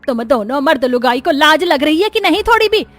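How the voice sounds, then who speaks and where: A woman's voice: sits high, very animated, fairly quick, a bit bright, dry recording, pitched above the performer's own voice. A woman voicing a firm, practical elderly village mother-in-law in a cartoon story, scolding and advising. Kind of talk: Hindi cartoon story acting.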